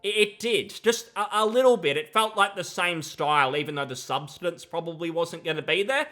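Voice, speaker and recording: A young man with a fairly high, bright voice delivers gaming commentary into a close desk mic. He is critical and emphatic, openly disapproving as he argues his opinion. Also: normal speed. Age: young adult. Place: small room at home. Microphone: close desk microphone, headset on.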